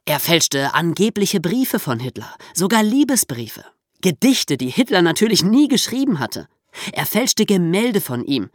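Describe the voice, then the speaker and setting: A man's voice: high voice, very animated, a little slow, no echo, studio quality. A man co-hosting a podcast, explaining history in a conversational storytelling manner. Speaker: podcast host.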